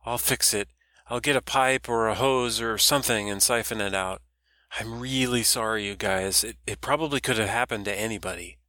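A man voicing a nervous young man who rushes through apologies. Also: fairly low pitch, pushed and bright, no room sound. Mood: apologetic. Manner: flustered, hurried apologies. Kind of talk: audiobook character voice.

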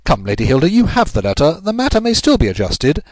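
A man playing a commanding English gentleman detective in a story, incisive, urgent and authoritative. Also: a little high, wildly swooping pitch, quick pace, no echo, clean studio sound, pitched above the performer's own voice. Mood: stern, pressing.

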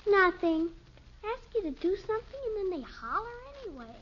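A woman's voice: very high-pitched, sing-song, brisk. A female performer playing a perky, pouty little girl, the youngest daughter in a 1950s radio sitcom, whiny and childlike. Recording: dull, noisy 1950s radio recording.

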